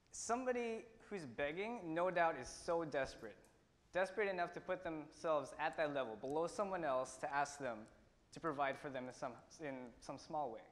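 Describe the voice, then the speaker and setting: Young man telling a story in a hall. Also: a little high. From India. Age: teens. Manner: earnest storytelling with pauses and restarts. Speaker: student speaker. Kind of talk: prepared personal talk. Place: auditorium stage. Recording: long hall reverb.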